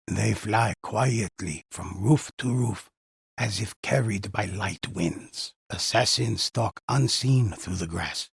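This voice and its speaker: An actor playing a mysterious, solemn older male storyteller in a video game, telling legends in a measured, portentous way with a husky, gravelly voice. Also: low voice, no echo, studio quality, exaggerated voice. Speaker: storyteller narrator. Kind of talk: dramatic scripted storytelling narration for a video game.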